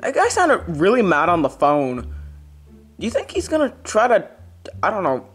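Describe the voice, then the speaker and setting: A man's voice: sits high, wildly swooping pitch, normal speed, a bit bright, breathy edge, soft background music, heavily acted. A man voicing an anxious young fox little brother, hesitant and rambling, in an amateur plush comedy skit. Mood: worried.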